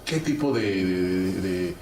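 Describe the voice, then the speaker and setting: A man's voice: sits low, slow, recorded from a distance, faint music behind. A man interviewing a guest, asking probing questions that he repeats and rephrases. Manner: probing, repeats questions, steady "ujú" backchannel. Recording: echoey room, away from the mic.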